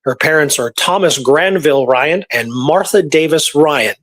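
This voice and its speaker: Man, fairly high-pitched, casual conversational delivery, history podcast host, informal explainer. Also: measured pace, a bit bright.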